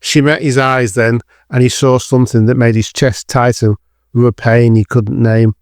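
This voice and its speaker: A man's voice: mid-range voice, flat delivery, normal speed, smooth, dry recording. Guy narrating a dramatic audio drama, mid-range voice, really dry studio-like sound. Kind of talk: scripted dramatic story narration.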